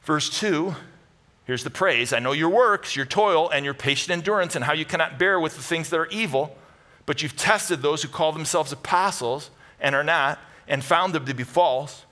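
A middle-aged American man delivers a sermon from a church stage. His voice sits a bit high and has a bright, forceful edge. He talks in short, punchy phrases, repeating key words for emphasis while staying conversational. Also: normal speed. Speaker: pastor. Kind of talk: sermon to a live congregation with Bible teaching.

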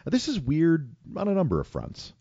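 A man's voice: on the high side, huge pitch swings, moderate pace, warm tone, slightly rough, dry recording, lo-fi sound. A man hosting a podcast, reading a prepared film segment with wry commentary. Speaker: podcast host.

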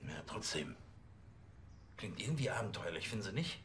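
A blustery, muddled police chief. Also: male voice, on the low side, sing-song, moderate pace, a bit bright, a little hiss or hum. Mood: grumbling. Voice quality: blustery, stumbling, with many fillers. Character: grumbling male police chief, middle-aged, blustery.

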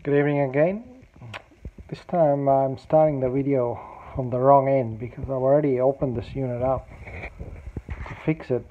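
A man's voice: mid-range voice, gravelly, narrow, tinny audio. A man giving a casual, unscripted demonstration as a hobbyist repairer, recorded on an amateur home video. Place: indoors at a cluttered home table. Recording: noticeable background noise, amateur home video.

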